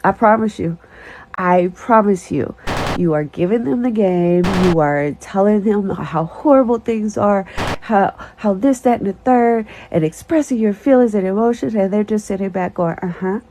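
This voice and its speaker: A woman's voice: mid-range voice, moderate pace. Blunt, emphatic woman giving advice. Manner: frank, emphatic, blunt with swearing. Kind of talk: opinionated monologue. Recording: noisy recording.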